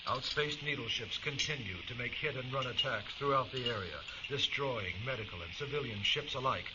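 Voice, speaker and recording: A man's voice: medium pitch, fairly quick, natural voice. Actor doing a stern male wartime newsreader, formal and clipped, dull radio sound with heavy crackle. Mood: stern, official. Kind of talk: scripted wartime news bulletin. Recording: dull radio broadcast under loud crackle.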